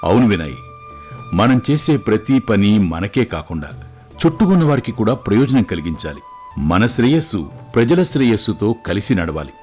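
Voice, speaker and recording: A man playing a calm, wise fatherly king who gives measured, reassuring moral advice in a storybook tale. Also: fairly low pitch, faint music behind. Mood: serene, instructive. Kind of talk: scripted moral story dialogue.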